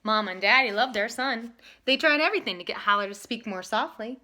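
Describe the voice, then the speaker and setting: A woman teaching and reading a picture book aloud with emphatic, expressive delivery. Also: fairly high pitch, brisk. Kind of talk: lesson talk and animated storybook reading.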